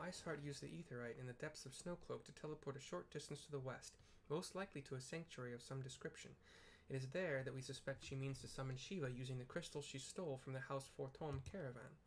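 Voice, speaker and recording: A man voicing an earnest woman leader in a fantasy game, with formal, measured, archaic phrasing. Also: mid-range voice, a little hiss or hum, natural voice.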